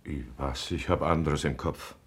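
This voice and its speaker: An actor playing a gruff, uneasy, evasive husband in a radio drama, husky and halting in his speech. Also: very deep voice, fairly even intonation, fairly bright tone, heavily acted. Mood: grudging, bitter. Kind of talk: naturalistic German radio drama acting.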